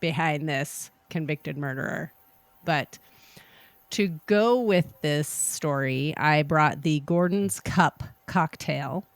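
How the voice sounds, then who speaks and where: A woman co-hosting a podcast, chatting and reading out a cocktail recipe in a measured, unhurried way. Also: low-pitched, clean tone, dry recording. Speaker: podcast co-host.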